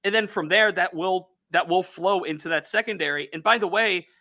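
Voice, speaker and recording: Man, Youngstown accent, bright and slightly high, upbeat co-host running a sports podcast. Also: fairly quick, creaky. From Northeast Ohio. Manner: energetic, reads out viewer comments.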